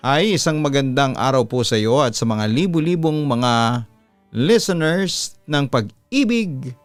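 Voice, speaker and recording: Smooth Filipino male storyteller over music. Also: mid-range voice, no echo. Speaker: radio announcer and story narrator. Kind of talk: dramatic first-person reading of listener letters. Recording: background music under the voice. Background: instrumental music.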